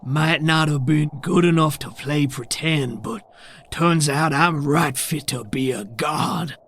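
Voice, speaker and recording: A man voicing a swaggering, taunting would-be god in a tabletop game, with a husky, menacing Southern US drawl. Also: fairly high pitch, takes it slowly, fairly bright tone, pitched above the performer's own voice, exaggerated voice. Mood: taunting, boastful.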